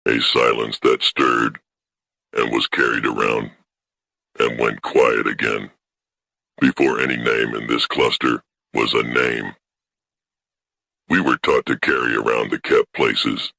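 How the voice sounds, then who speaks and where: A man voicing an ancient, solemn female alien elder in a science fiction audiobook, speaking with slow deliberation and a gravelly voice. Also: level tone, pushed and bright, dry recording, full character voice. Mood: solemn, reverent. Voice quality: very low, gravelly. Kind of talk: scripted audiobook character voice.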